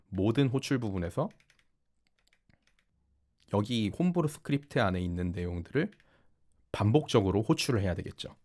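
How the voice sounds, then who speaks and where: A man narrating a software tutorial as an instructor, explaining step by step in polite, formal speech. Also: lowish voice. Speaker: programming instructor. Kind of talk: tutorial narration over screen demonstration.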